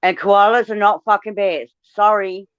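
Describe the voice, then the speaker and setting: A woman's voice: medium pitch, fairly even intonation, moderate pace, smooth, no echo, lo-fi sound. A woman from Australia with an Australian accent, chatting casually, blunt and swearing freely as she tells stories. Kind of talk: casual chat.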